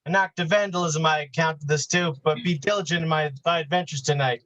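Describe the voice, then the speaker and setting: Man playing a higher-pitched, cautious constable father. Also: steady tone, fairly quick, fairly bright tone, lightly acted. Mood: cautioning. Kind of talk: Shakespearean stage acting.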